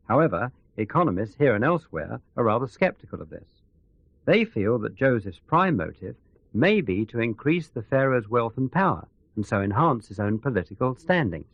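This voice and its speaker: A man's voice: medium pitch, no echo, highs cut off. A man playing a sceptical economics expert in a radio news interview, explaining things in a considered, analytical way. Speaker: expert commentator.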